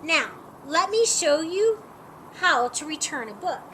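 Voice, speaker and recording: A woman's voice: high voice, sing-song, a little slow, pushed and bright, a little hiss or hum, exaggerated voice. A woman voicing a friendly, helpful woman librarian in a storybook, welcoming and encouraging as she instructs schoolchildren. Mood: instructive. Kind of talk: storybook character read aloud.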